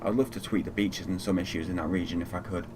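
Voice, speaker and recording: A man from Fiji giving casual, low-key live commentary as a flight simulator streamer, muttering through procedures as he goes. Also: steady tone, quick pace, noisy background.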